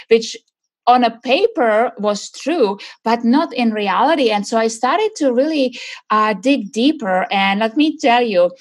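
A middle-aged woman from Czechoslovakia, answering interview questions in an earnest, searching way with many fillers and restarts. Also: a little high. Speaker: guest.

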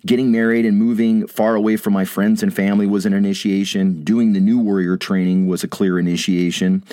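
A middle-aged man hosting a podcast, hoarse and raspy from a head cold, speaking in an earnest, inspirational way. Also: on the low side, flat delivery, a little fast, warm tone, dry recording. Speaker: podcast host. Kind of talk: podcast monologue and interview.

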